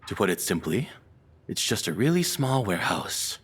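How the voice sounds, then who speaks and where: A man playing a nervous, lovestruck kidnapper in an audio roleplay, apologetic and obsessive, speaking in halting, rambling stammers. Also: on the low side, very animated, fairly bright tone, husky, exaggerated voice. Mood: awkward explaining. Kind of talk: intimate dramatic audio roleplay monologue.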